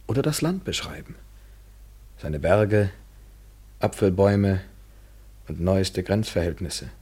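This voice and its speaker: Radio drama lover, male: very deep, husky, ironic, measured, world-weary but tender. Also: a little mellow, exaggerated voice. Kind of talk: literary German radio play acting, 1970s.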